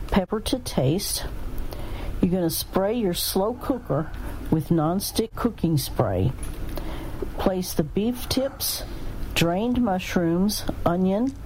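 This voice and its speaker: A woman reading out instructions step by step in a measured way, with plain asides. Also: on the low side, darkish tone, slight background noise, poor audio. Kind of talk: recipe read aloud, step by step.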